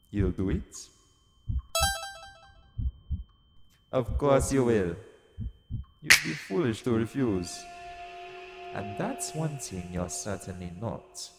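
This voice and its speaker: A man playing a cruel, vengeful god in an audio roleplay, grand, deliberate and menacing as he addresses a mortal. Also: lowish voice, vocal fry, heavily acted. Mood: ominous, commanding. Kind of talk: theatrical audio roleplay monologue. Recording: long cavernous reverb. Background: music.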